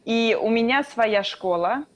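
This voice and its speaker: Relaxed woman teacher explaining. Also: on the high side. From Russia. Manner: relaxed explaining with drawn-out "a" fillers. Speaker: Russian teacher, guest. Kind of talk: casual interview.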